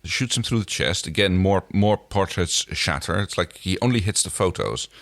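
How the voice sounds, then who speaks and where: A man's voice: low-pitched, expressive, moderate pace, a bit bright, no room sound, clean studio sound. A man co-hosting a casual TV recap podcast, often hesitating as he searches for words. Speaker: podcast co-host. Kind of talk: casual TV episode recap chat.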